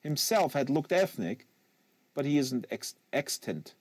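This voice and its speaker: A man's voice: mid-range voice, big pitch swings, a little slow, a little mellow, slightly rough, dry recording. A man narrates a literary novel in a mid-range voice. He is close to a studio microphone, and the sound is dry, with very little room in it. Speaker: audiobook reader and host. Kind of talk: live literary novel reading, scripted narration. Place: small acoustically treated home studio.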